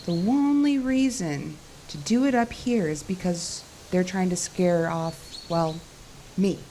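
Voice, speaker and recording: A no-nonsense skeptical tech in an audio drama, dry delivery, bit of ambient noise behind. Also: female voice, fairly low pitch, slow pace, mellow, rough recording. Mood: suspicious. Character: practical, skeptical, dry-witted female cell tower technician. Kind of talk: naturalistic audio drama dialogue.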